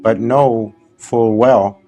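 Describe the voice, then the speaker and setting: A man leading a guided meditation as a spiritual teacher, in short, gently instructive phrases with long, unhurried pauses between them. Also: medium pitch, fairly even intonation, soft background music, rough recording.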